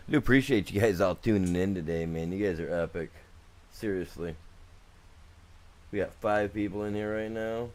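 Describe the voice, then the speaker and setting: A man's voice: fairly low pitch, normal speed, long pauses. A young man streaming a farming game, chatting casually with his viewers and rambling as he plays, often trailing off and starting sentences over. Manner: laid-back, rambling, talks to chat, trails off and restarts. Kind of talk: casual live gameplay chat.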